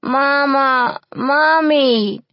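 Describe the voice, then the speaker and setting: A woman's voice: a little high, extremely slow, dry recording, voice pushed up. A woman voicing a frightened little orphan girl who cries and pleads, in a dull lo-fi storybook recording. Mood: crying. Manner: crying, pleading.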